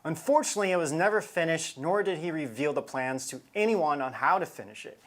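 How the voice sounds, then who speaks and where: A male co-host with a very animated, varied pitch. He narrates scripted material like a story, stressing points emphatically while keeping it conversational. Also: a little fast. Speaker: show co-host.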